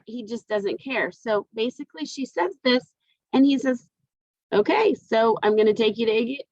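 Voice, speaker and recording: Female teacher, fairly high voice, conversational explainer speaking straight to students; dry, slightly muffled computer-mic sound. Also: no echo. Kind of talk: lesson explanation to students, informal.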